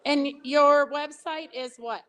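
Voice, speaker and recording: A woman hosting a casual interview, chatty and quick to add encouraging remarks. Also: high-pitched, fairly even intonation, fairly bright tone. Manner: chatty, lots of encouraging backchannels. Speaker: show host.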